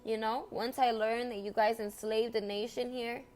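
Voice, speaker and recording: A young woman with a slightly high voice speaks in a long, impassioned monologue. Spanish was her first language, and she talks earnestly, repeating herself and pressing each point home with emphasis. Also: steady tone, normal speed, darkish tone, soft background music.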